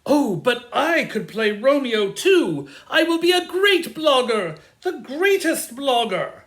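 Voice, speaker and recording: A woman's voice: sits high, big pitch swings, slow, full character voice. A woman playing a vain, eager show-off amateur actor, gushing and overdramatic in a comic audio drama. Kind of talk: theatrical comedy audio drama.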